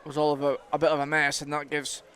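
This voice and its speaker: Male rugby play-by-play caller, Scottish, high voice, faint outdoor noise behind. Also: completely flat delivery, quick pace, fairly bright tone. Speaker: rugby commentator. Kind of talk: live play-by-play sports commentary. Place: commentary box at a rugby ground. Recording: outdoor ground with clear background noise.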